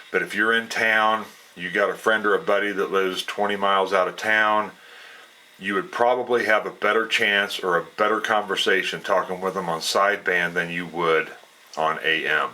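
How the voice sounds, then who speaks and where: A man giving a casual, unscripted explanation as a radio hobbyist, in a plainspoken, folksy way with halting phrasing. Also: sits low, steady tone, bright, forceful tone, poor audio.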